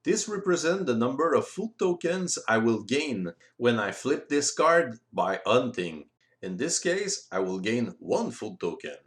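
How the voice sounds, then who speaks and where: A man explaining board game rules step by step in simple, deliberate phrasing. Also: medium pitch, very animated. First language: French. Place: voice-over recorded separately from the video.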